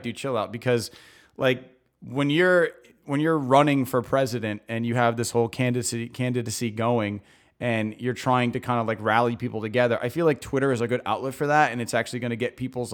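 A man co-hosting a casual talk show, giving relaxed opinions with many fillers. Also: medium pitch. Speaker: podcast co-host. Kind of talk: casual chat.

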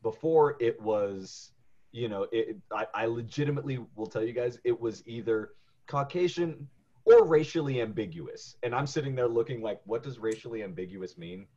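A man's voice: mid-range voice, moderate pace, dark tone, dull audio. A male voice actor co-hosting a podcast, chatting casually and often repeating words as he starts a thought.

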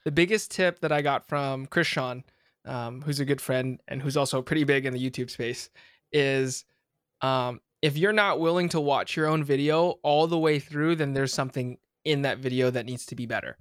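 A man's voice: mid-range voice, fairly even intonation, normal speed, a bit bright, clean tone, no room sound, studio quality. A young man hosting a podcast, talking casually with lots of fillers and restarts. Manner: rambling, many fillers and restarts. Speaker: podcast host.

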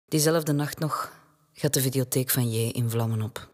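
An actress playing a curious Flemish storyteller in an audio drama, moving between calm narration and pointed dialogue. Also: female voice, extremely low pitch, moderate pace, heavy background noise. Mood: calm, narrating. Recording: loud music under the voice. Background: loud instrumental music.

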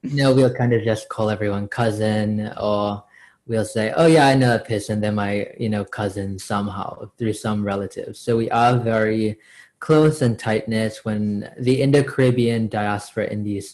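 A man's voice: on the low side, normal speed, darkish tone, poor audio. A man from Trinidad who grew up in South Florida, speaking as a podcast guest in a friendly, easygoing, conversational way. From born in Trinidad, raised in South Florida. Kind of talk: podcast interview.

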